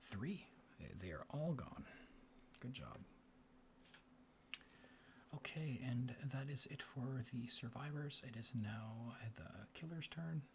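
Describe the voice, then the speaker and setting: Soft-spoken, whispering ASMR man, background noise. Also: medium pitch, big pitch swings, vocal fry. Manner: murmured, unhurried play-by-play to himself and the players. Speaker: host of a board game ASMR show. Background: room ambience, board game handling sounds.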